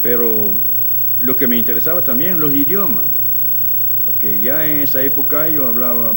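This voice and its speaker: An older man with a French accent, native French speaker, recalling his years of fieldwork as an ethnologist in a reflective, hesitant way, pausing often. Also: mid-range voice, a little hiss or hum, rough recording. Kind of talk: documentary interview recollection. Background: soft music.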